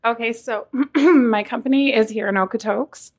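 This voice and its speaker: A hoarse woman, gravelly and a little breathy, slightly higher-pitched than most, talks about her work in a casual interview at a film festival. She is losing her voice and laughs it off. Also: moderate pace. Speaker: caterer and executive chef.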